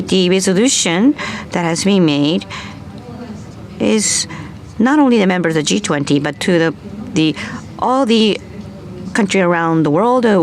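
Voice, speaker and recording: A woman moderating a panel session and then interpreting a speaker, halting with frequent fillers and restarts. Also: fairly low pitch, slight background noise. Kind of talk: conference moderation and live interpretation. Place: conference hall.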